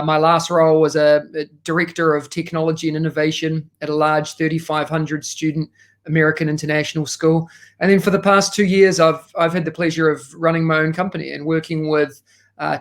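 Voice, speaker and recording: A man's voice: a little high, level tone, a little fast. A man with a New Zealand accent, talking enthusiastically as a podcast guest, with frequent fillers. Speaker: guest, education consultant. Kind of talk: casual podcast interview.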